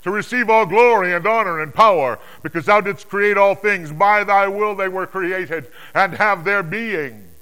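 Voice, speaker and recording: A fervent heavenly being played by a man proclaims praise from scripture. The voice is raised high and loud, ringing out in drawn-out, exalted declamation. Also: clear, resonant tone, rough recording.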